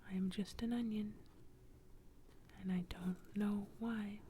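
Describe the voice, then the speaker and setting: A woman's voice: mid-range voice, fairly even intonation, slow pace, dark tone, slight background noise, close to an everyday voice. A woman voicing a small, lonely male onion in a storybook, speaking in a whisper. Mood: sad.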